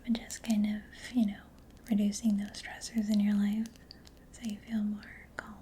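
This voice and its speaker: A woman doing a soft-spoken ASMR roleplay as a mechanic, in a breathy whisper with a gentle, hesitant, reassuring manner. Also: medium pitch, completely flat delivery, normal speed, slight background noise.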